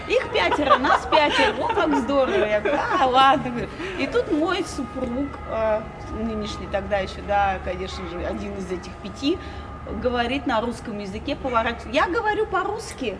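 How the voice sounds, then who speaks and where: A woman in her thirties from Russia, telling her story as an interview guest in an animated way and laughing often. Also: on the high side. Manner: animated, laughs often, quotes people in a raised voice. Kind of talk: casual storytelling. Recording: loud crowd noise behind.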